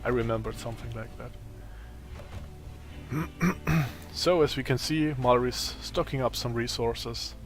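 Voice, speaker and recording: A man with a raspy, tired voice giving casual gameplay commentary, thinking aloud as he plays. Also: medium pitch, faint music behind. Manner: slow, thinking aloud while playing. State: voice strained from a long day of talking.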